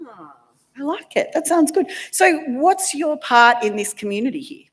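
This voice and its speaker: Chatty woman with a lively, high voice. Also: moderate pace. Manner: chatty, lively, laughs easily. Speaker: minister leading worship. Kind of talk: casual church interview. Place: church.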